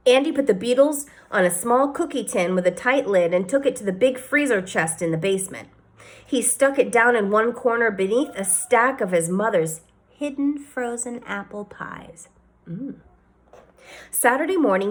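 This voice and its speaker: A woman teacher reading a children's novel aloud with expressive storytelling and varied emphasis. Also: fairly high pitch.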